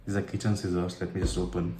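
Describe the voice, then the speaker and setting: A man with an Indian accent narrating an unboxing casually and unscripted, in halting phrases broken by pauses. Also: deep voice, level tone, dark tone. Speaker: tech and motorcycle vlogger. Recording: roomy echo with faint background noise.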